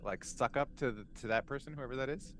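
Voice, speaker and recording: A man's voice: mid-range voice, fast, a bit bright, a little hiss or hum. A man chatting casually as a player in a tabletop game, wry and joking, feeling his way through phrases. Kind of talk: casual improvised game chat.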